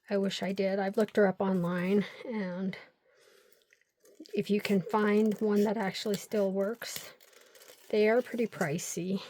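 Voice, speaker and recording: A woman's voice: medium pitch, a little mellow. An older woman hosting a crafting vlog, chatting and rambling through stories while she glues paper pieces. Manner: talks to herself while gluing. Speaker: crafting channel host.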